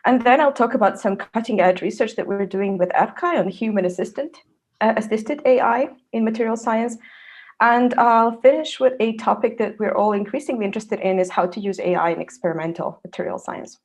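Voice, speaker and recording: A woman's voice: fairly high pitch, fairly quick, a little mellow. A woman from Serbia, a research fellow in her thirties, giving an online scientific presentation with frequent fillers.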